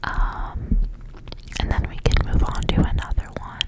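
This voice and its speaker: A woman whispering softly in a breathy, rambling ASMR style, as a host reading and chatting casually. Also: a little slow. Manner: soft, rambling, repeats words.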